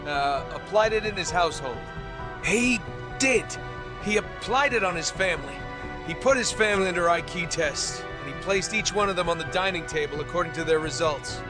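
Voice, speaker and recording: A man's voice: high-pitched, lively intonation, moderate pace, over music. A man narrating an explainer video as a comic voice-over, playful and jokey, talking straight to the viewer.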